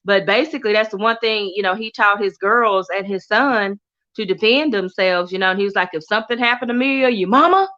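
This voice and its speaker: A woman's voice: mid-range voice, a little fast, dull audio. A middle-aged woman from Texas with a Southern accent, chatting casually on a livestream, reading out viewer comments with lots of laughter. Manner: animated, laughs often, chatty and warm toward viewers. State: coughing from allergies. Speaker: livestream host.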